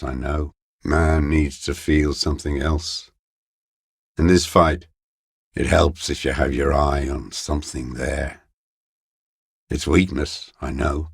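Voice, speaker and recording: An actor playing a bitter, paranoid old communist deserter in a video game, with a weary, gravelly voice and a halting, brooding, contemptuous mutter. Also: male voice, very deep voice, steady tone, no room sound, clean studio sound, exaggerated voice. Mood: weary, confessional. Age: older. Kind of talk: video game character voice acting.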